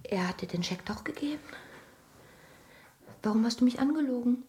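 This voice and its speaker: An actress playing a gentle but doubting wife in a radio drama, hesitant and questioning. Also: female voice, a little high, animated, normal speed, lightly acted. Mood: suspicious.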